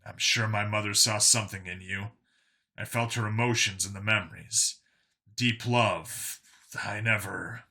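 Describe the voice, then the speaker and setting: Guy reading as tough enforcer man in an audiobook, blunt but earnest, real forceful crisp bright tone. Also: on the low side, fairly even intonation, unhurried, heavily acted. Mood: reflective. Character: Vincent, earnest tough human enforcer, reformed bigot.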